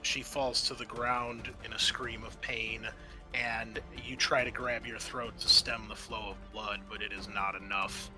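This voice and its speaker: A man's voice: lowish voice, level tone, unhurried, sharp tone, soft background music. A man narrating a tabletop horror game as game master, moving between vivid storytelling and casual rules talk.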